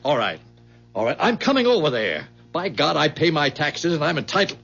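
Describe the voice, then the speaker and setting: Blustering, high-pitched, pompous businessman. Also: huge pitch swings, moderate pace, vocal fry, heavily acted. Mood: indignant, blustering. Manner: pompous, insistent. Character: blustering, self-important businessman, indignant and demanding. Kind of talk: 1970s radio drama acting. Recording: dull 1970s radio recording, faint music.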